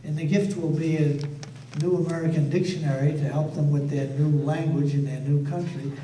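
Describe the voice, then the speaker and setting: A man's voice: on the high side, mellow. An elderly man in his seventies hosting a ceremony, making announcements from a podium in an auditorium. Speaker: event host. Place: indoor auditorium stage. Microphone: podium microphone. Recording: roomy hall, distant mic, faint noise.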